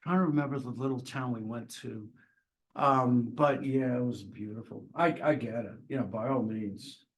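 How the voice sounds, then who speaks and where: A man's voice: mid-range voice, moderate pace, low-quality recording. Chatty, encouraging man teaching a class. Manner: chatty and encouraging, with frequent restarts. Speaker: marketing professor. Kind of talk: classroom introductions.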